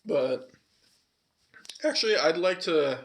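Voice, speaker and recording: A man's voice: on the high side, lively intonation, slow, gravelly. A man from California with a slight Southwestern tinge, co-hosting a podcast in rambling casual chat with lots of fillers and swearing. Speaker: podcast co-host.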